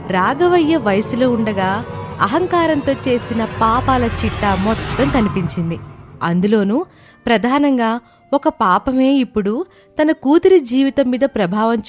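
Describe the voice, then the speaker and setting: A woman giving scripted narration of a moral tale as storyteller. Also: on the high side.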